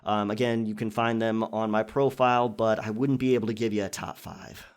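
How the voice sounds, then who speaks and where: A man's voice: on the low side, steady tone, fairly quick, clear, resonant tone. A man hosting a casual question and answer session for his listeners in a conversational, chatty manner. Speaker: audio roleplay creator and channel host.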